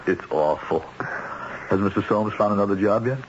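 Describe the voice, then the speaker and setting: An actor playing a calm, remorseless middle-aged American husband turned killer in a radio drama, speaking in a measured, deliberate way. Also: male voice, fairly low pitch, natural voice. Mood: curt, dry. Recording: dull, noisy vintage radio broadcast.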